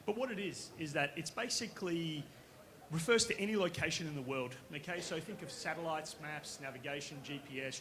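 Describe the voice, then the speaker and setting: A man from Western Australia with an Australian accent, giving a conference pitch as a company founder, casual and conversational, often checking in with the audience. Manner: casual, conversational, with frequent tag questions. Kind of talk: conference presentation. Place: conference stage.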